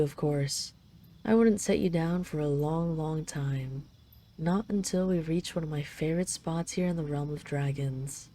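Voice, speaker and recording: A woman's voice: low voice, mellow, a little hiss or hum. A woman voicing a possessive yet tender dragon queen in an intimate roleplay audio, teasing and coaxing the listener.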